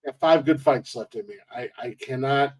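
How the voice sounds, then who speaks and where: Male podcast host, 40s, mid-pitched, rambling, self-amused. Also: normal speed, a crowd in the background. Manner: rambling, restarts often, laughs at own jokes. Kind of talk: casual podcast chat.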